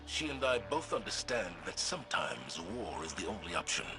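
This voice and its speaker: Casting: a resolute game commander, fairly low voice, serious and burdened, music behind. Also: male voice, very animated, moderate pace, rough recording. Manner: firm, serious. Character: stern, principled military commander, burdened and resolute. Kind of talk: video game voice acting.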